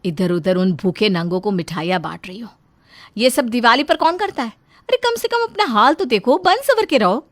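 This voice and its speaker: A woman's voice: animated, moderate pace, no echo, close to an everyday voice. Woman acting as flashy young snob of a daughter-in-law, raised voice, nagging, haughty, storybook character, dry recording. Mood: scornful. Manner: haughty, nagging, high-swooping.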